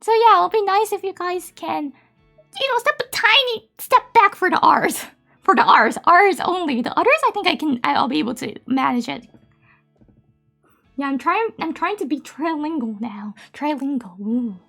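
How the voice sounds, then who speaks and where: A woman's voice: sits high, animated, normal speed, vocal fry, bright, forceful tone, faint music behind. A woman streamer whose native language is Japanese, chatting casually and giggling often, with many fillers. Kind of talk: casual live-stream chat.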